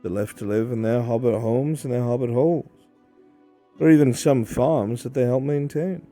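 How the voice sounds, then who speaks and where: A man roleplaying a stern, world-weary fantasy mentor, speaking in a dry, measured, matter-of-fact way. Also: medium pitch, steady tone, dark tone, soft background music, close to an everyday voice. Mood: matter-of-fact, grave. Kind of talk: improvised in-character roleplay.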